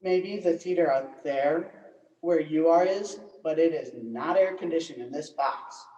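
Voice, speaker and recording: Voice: medium pitch, measured pace, warm tone, highs cut off, natural voice. Earnest, stubborn trapped mime, played by a teen for stage comedy; roomy, distant sound. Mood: indignant. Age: teenager. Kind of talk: school one-act comedy stage acting. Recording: echoey, distant school play recording.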